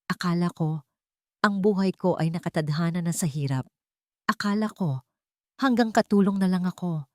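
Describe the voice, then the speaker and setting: A humble, loving woman speaks with gratitude in a romance audiobook, read by a woman narrator. Her voice sits low, and the sound is dry and close. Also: moderate pace, long pauses, a little mellow, no echo, close to an everyday voice. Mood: grateful, tender. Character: humble, loving woman, a grateful former maid. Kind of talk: dialogue inside a narrated romance story.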